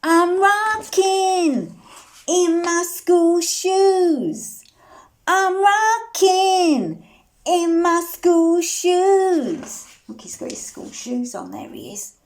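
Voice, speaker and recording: A woman with a high, very lively voice tells a picture-book story to little children. She takes her time, often turns to the listeners with questions, and slips into a sing-song delivery for the refrains. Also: extremely slow. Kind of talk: interactive read-aloud for young children, with sung refrains.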